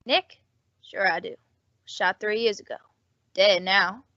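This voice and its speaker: A woman's voice: mid-range voice, fairly even intonation, slow, sharp tone, no room sound. A woman playing a sly, brash, grasping rooming-house landlady with slangy talk in an old-time radio drama. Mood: cagey. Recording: dull, dry lo-fi audio.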